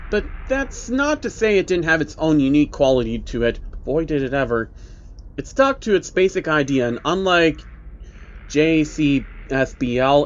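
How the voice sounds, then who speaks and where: A man's voice: mid-range voice, a bit bright. A man narrating a scripted story in a casual, sardonic reviewer's manner. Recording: dull lo-fi recording with faint noise.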